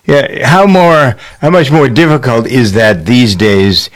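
A man's voice: medium pitch, a little slow. A man hosting a radio farm program, interviewing a guest in a conversational, probing way. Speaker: radio show host.

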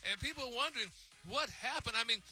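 A man's voice: extremely high pitch, animated, quick pace, bright, forceful tone, exaggerated voice. A man voicing a flamboyant, sassy male celebrity gossip reporter who gushes dramatically on a comic radio show. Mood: gossipy. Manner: gushing, dramatic, peppers talk with "honey" and "y'all".

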